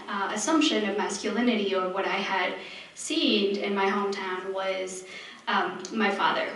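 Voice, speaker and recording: A woman from Mexico with a Mexican accent, a native Spanish speaker on a panel, speaking earnestly with many pauses and ums. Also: medium pitch, fairly bright tone. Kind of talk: earnest personal account on a panel. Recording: echoey hall, far from the mic, faint noise.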